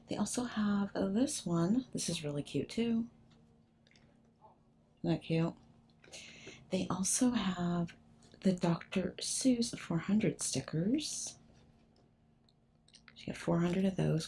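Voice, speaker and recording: Older woman, shopping vlogger, low mellow voice, chatty and half-whispered, faint hiss behind. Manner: chatty, often drops to a whisper. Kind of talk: casual shop-with-me narration. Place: large discount store aisle. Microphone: handheld phone camera.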